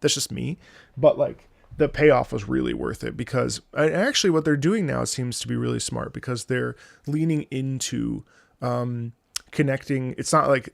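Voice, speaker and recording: A man's voice: mid-range voice, vocal fry, no room sound, studio quality. A man giving casual, off-the-cuff commentary on a game as a streamer, rambling and thinking aloud with enthusiasm.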